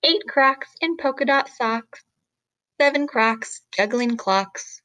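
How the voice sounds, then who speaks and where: Woman doing a kids story read-aloud, slow n expressive, really dry close sound. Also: sits high, dry recording. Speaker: teacher reading aloud. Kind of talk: picture book read-aloud for children.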